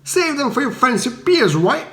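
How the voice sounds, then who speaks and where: A man's voice: extremely high pitch, big pitch swings, moderate pace, fairly bright tone, echoey room, pitched above the performer's own voice, heavily acted. A man voicing rowdy, indignant Cockney commoners who heckle in angry slang.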